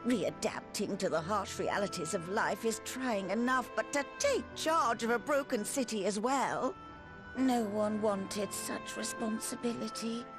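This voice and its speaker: An actress playing a genteel, devoted middle-aged wife in a video game, with a refined, formal and emotive manner. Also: female voice, medium pitch, unhurried, music in the background, close to an everyday voice. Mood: wry, refined. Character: genteel wealthy wife, anxious and devoted, theatrical.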